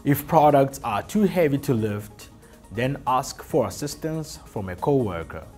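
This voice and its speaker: Slow, lively-voiced male safety presenter. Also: mid-range voice. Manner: slow, deliberate, emphatic list delivery. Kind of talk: scripted corporate safety narration. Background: soft background music.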